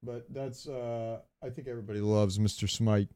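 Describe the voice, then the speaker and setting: A man's voice: medium pitch, steady tone, normal speed, mellow, clear, resonant tone. Casual, slangy man co-hosting a podcast. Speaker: podcast co-host. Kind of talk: casual gaming banter and debate.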